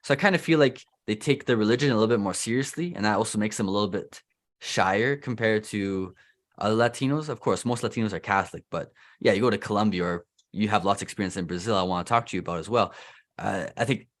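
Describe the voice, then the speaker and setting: A young man with a Canadian accent chats his way through an interview as host. His voice sits a little low. He talks loosely, restarting sentences and leaning on "like". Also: fast. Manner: chatty, loose, heavy use of "like" and restarts. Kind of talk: casual interview chat.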